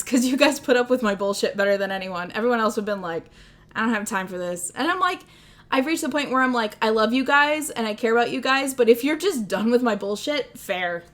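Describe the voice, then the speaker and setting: A woman's voice: fairly high pitch, animated, brisk, fairly bright tone. A young woman with a Midwestern accent, chatting casually on a livestream, rambling and self-deprecating, laughing often. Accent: Midwestern American. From American Midwest. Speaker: streamer.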